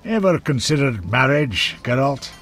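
An actor playing a blunt, crude, hearty dwarf in a fantasy game, talking in an earthy way. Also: male voice, mid-range voice, big pitch swings, a little slow, a bit bright, soft background music. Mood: grumbling.

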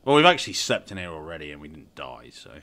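Deep-voiced man, sniffly, thinking aloud. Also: big pitch swings, fast talker, pushed and bright. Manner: chatty, thinks aloud with many fillers. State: sniffly from a cold. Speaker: gameplay commentator. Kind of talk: casual let's-play commentary.

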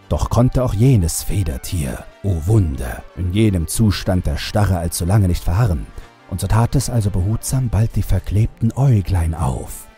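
Male horror audiobook narrator, deep and dark, deliberate dramatic delivery. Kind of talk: dramatic literary storytelling.

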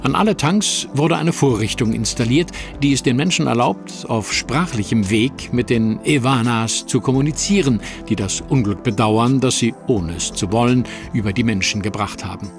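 A man narrating an audio drama in an even, formal storytelling manner. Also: medium pitch, normal speed, hardly any pauses, natural voice. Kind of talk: scripted narration. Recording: narration over music.

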